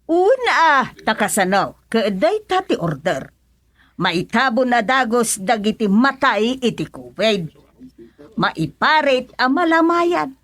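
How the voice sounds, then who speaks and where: A woman plays a practical, chatty village schemer in a radio comedy. Her voice sits a little high and carries an Ilocano accent. It is recorded close, with very little room sound. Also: very animated, slow pace, a bit bright, no echo, exaggerated voice. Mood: agreeable.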